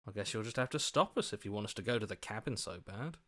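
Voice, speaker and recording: A man's voice: on the low side, animated, fast, a bit bright. A man playing an earnest, hopeful hero's inner voice in a video game, questioning and pleading as he talks. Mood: reasoning, incredulous.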